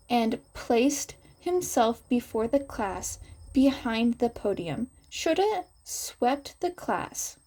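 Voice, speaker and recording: A woman's voice: fairly high pitch, mellow. A woman reading a story aloud as scripted narration. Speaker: podfic narrator.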